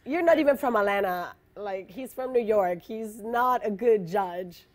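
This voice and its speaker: A woman's voice: medium pitch, unhurried. A woman panelist with an Italian accent, giving blunt, emphatic opinions in casual chat.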